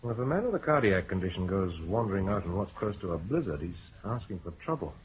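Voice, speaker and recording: An actor playing a police doctor who speaks in a dry, matter-of-fact way. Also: male voice, sits low, big pitch swings, fast talker, breathy edge, lo-fi sound, full character voice. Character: dry male police surgeon.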